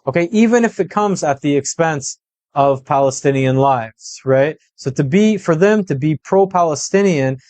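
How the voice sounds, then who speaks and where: A man's voice: on the high side, animated, normal speed, darkish tone, no echo. A man giving conversational political analysis as a guest, laying out points methodically and checking in as he goes. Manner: methodical, punctuates points with "right?" and "okay?". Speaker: political commentator, podcast guest.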